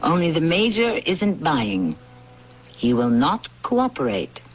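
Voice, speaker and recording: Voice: mid-range voice, big pitch swings, takes it slowly, breathy edge. A narrator and host delivering scripted narration for an old-time radio drama. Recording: muffled old radio recording with music. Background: music.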